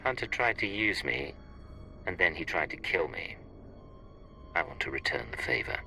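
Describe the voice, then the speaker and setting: A hardened, cold agent of a space empire in a game cutscene. His voice sits low and is heavy with fry, with a very forceful edge. He speaks tersely and grimly over music. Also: sing-song, moderate pace, full character voice. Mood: cold resolve. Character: cold, hardened male Imperial intelligence agent. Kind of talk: video game cutscene acting.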